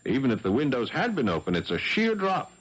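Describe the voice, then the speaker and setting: An actor playing an earnest, big young French policeman, husky and perplexed, in a 1970s radio drama. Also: mid-range voice, huge pitch swings, a little fast. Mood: baffled, insistent. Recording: dull 1970s radio broadcast.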